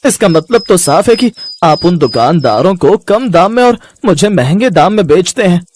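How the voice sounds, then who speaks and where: A man's voice: sits high, expressive, normal speed, darkish tone. A man playing an earnest, troubled poor mint seller in a moral story, with a pleading manner. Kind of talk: Hindi moral story voice acting.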